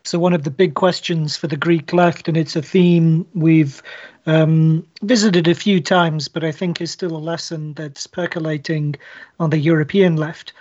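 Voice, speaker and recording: A man co-hosting a podcast, putting long, analytical questions in a measured and deliberate way. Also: on the high side, fairly even intonation, a little mellow. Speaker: podcast co-host, interviewer. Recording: dull, band-limited online audio.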